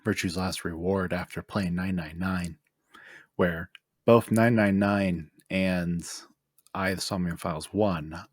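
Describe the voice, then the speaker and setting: A man's voice: low voice, breathy edge, dry recording, clean studio sound. A man co-hosting a gaming podcast, chatting casually about what he has played. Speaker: podcast co-host.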